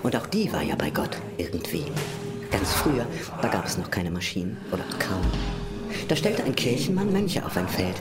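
Hushed radio play tour guide lady, low voice, very swoopy, confiding, loud music behind. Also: sing-song, moderate pace. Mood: hushed, musing. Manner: confiding, often dropping toward a whisper. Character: female tour guide, hushed, musing, leading listeners through strange sphere.

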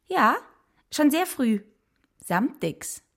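A woman playing a meek, apologetic, weary mother in a children's radio play, gentle and evasive. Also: sits high, sing-song, very slow, slight background noise, full character voice. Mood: bright, forced.

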